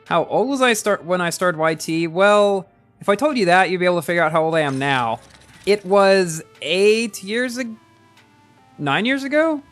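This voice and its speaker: Young man, early twenties, fairly high voice, casual stream chatter, rambling restarts, faint music behind. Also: expressive, moderate pace, a bit bright. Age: early 20s. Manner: rambling and chatty, often repeating words as he restarts. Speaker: gaming livestreamer reading chat. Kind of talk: casual live-stream chat.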